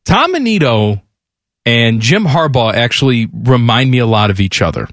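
A man's voice: mid-range voice, big pitch swings, normal speed, fairly bright tone, no echo, clean studio sound. A man hosting a sports talk show, speaking in casual, bantering conversation. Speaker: sports radio host.

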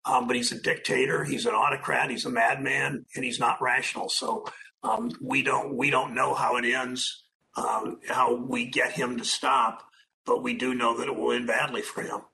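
Gravelly bright-voiced man, flat delivery. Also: medium pitch, normal speed, slight background noise, rough recording. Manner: blunt, repeats himself, hesitant fillers. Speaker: interviewee. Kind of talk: news interview soundbite.

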